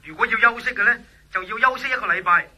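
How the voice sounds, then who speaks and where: An actor playing a brisk, impatient man in a radio drama. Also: high-pitched, bright, forceful tone, heavily acted, low-quality recording. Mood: impatient, urging. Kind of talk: Cantonese radio drama acting.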